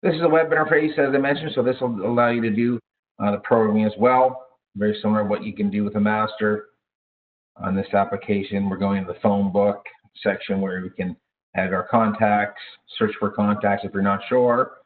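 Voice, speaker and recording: A man giving informal product training in a halting way, with frequent hesitations and self-corrections. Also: fairly low pitch, fairly even intonation. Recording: thin, phone-like narrow-band audio.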